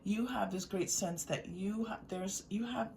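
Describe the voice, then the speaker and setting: A woman with a mid-range voice gives an unscripted tarot reading to her viewers. She is gentle and reassuring, searching for words and repeating them as she goes. The mic sits at some distance, with faint noise behind her. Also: medium pitch, measured pace, vocal fry. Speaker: tarot reader.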